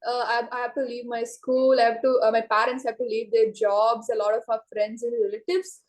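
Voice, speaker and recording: A woman's voice: fairly high pitch, little pitch movement, brisk. A teenage girl answering mock exam questions in a casual way, with many filler words. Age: teens. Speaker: student.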